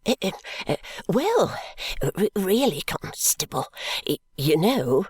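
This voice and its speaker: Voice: medium pitch, very animated, takes it slowly, darkish tone, husky, no room sound, clean studio sound, voice pushed up, exaggerated voice. A reader doing a stammering, timid stationer. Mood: hesitant. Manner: stammering, hesitant, coughing. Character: timid, perplexed middle-aged law stationer, man.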